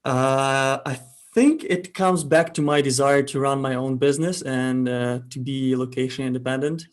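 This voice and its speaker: A young man talks through his story in a relaxed, casual way, pausing often to think. His voice sits at a middle pitch for a man. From Ukraine. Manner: relaxed, thoughtful, frequent "uh" pauses. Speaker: guest entrepreneur.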